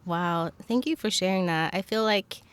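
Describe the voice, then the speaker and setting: A young woman hosting a laid-back podcast chat in a dry, close space, her clear voice searching tentatively for each question. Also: fairly low pitch, moderate pace, dry recording. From Zambia, moved to the US at ten. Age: young adult. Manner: tentative, searching phrasing with many likes. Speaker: podcast host, interviewer. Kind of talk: casual conversational interview.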